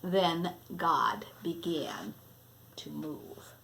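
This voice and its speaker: A woman reading a children's story aloud expressively, with deliberate phrasing that sometimes drops to a hush. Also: low voice, lively intonation, creaky, heavy background noise. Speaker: storybook reader.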